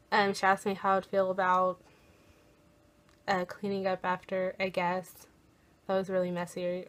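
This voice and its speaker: A young woman with an American accent talks informally, as if to viewers of her vlog. Her pace is unhurried and her pitch sits at an average level for a woman. She speaks haltingly, pausing to think back over details. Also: a bit bright. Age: young adult. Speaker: college program applicant vlogger. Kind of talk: casual chatty vlog.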